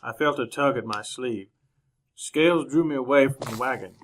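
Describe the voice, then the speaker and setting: An actor playing a courteous gentleman journalist in a radio western, speaking in measured, formal, precise words. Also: medium pitch, background noise, natural voice. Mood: composed. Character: proper, courteous gentleman journalist narrating his western travels. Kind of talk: old-time radio drama narration and dialogue.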